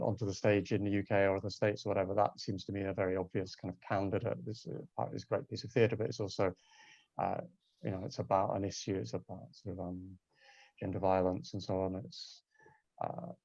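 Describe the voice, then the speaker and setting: A man speaking off the cuff as a panel guest, thoughtful and halting as he rephrases himself. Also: low-pitched, little pitch movement, creaky, darkish tone, breathy edge, no echo, muffled recording. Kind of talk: unscripted panel talk.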